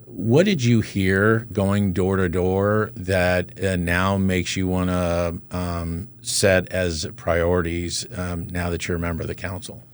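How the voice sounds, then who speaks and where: A man hosting a talk show who frames his interview questions in a measured way, pausing with fillers. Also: low-pitched, level tone, a little hiss or hum. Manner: measured, pauses with fillers while framing questions.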